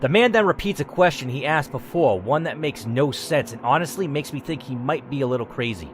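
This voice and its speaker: A man's voice: medium pitch, animated, a little fast, slight background noise. A man hosting a gaming video, narrating a text adventure theatrically, with casual, blunt, sweary asides.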